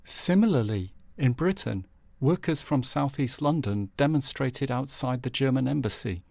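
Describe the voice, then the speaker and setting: A man reading scripted, documentary-style news headlines in a measured, formal manner. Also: medium pitch, lively intonation, gravelly, darkish tone, dry recording. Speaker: narrator presenting historical news headlines. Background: background music.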